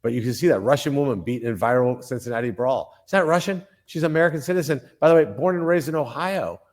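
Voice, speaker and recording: A man's voice: mid-range voice, quick pace, darkish tone. An older man from Colombia, a senator speaking as a guest in a political interview, emphatic, with frequent restarts and repeated phrases.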